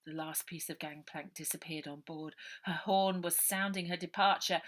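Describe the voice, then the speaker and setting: A woman author reading her own children's adventure novel aloud, lively, dropping to a whisper for suspense. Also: mid-range voice. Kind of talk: storybook narration for children.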